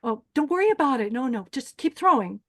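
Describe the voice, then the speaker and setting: A woman's voice: high-pitched, big pitch swings, fairly quick, heavily acted. A woman playing a menacing, deranged pageant host who bosses and threatens contestants in a screenplay table read. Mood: dismissive. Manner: bossy, threatening.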